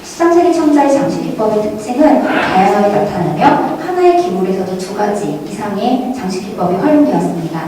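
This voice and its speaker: A woman presenting academic research at a conference in a measured, formal manner, reading from prepared notes. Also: mid-range voice, lively intonation, mellow. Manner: measured, formal scholarly delivery. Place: large echoey lecture hall at a conference. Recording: very echoey hall, distant mic, faint noise.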